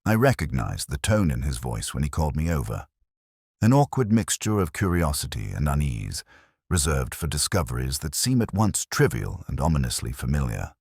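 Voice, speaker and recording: A man playing a melancholic, introspective police inspector, narrating a case in the first person in a measured, literary way for an audio drama. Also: extremely low pitch, sing-song, a little mellow, husky, no echo, studio quality, heavily acted. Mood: brooding, reflective. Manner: measured, literary, brooding delivery.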